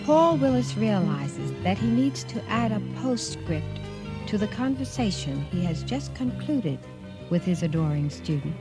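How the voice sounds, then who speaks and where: A woman's voice: mid-range voice, big pitch swings, unhurried. A woman hosting and narrating a radio drama in scripted dramatic narration. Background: music.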